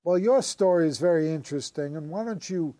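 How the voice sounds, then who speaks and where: A man's voice: on the high side, fairly quick, warm tone. A man hosting an interview, introducing his guest with friendly, joking remarks. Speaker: host.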